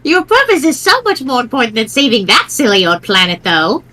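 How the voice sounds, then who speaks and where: A woman's voice: on the high side, wildly swooping pitch, a little fast, pushed and bright, heavily acted. A woman voicing a sweet old grandmother ghost in an amateur webcomic reading, riddling and doting in a theatrical, instructive way. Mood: cryptic, doting.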